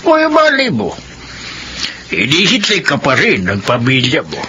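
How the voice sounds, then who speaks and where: An actor playing a sympathetic, encouraging Filipino prisoner in a radio drama. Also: male voice, on the high side, a little slow, heavily acted. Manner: expressive, encouraging. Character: chatty, sympathetic male cellmate awaiting parole. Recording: dull lo-fi radio drama, faint noise.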